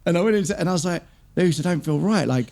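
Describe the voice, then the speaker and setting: A man's voice: a little high, lively intonation, fast talker, vocal fry, a crowd in the background. A man casually telling a story, slightly tipsy and laughing as he goes.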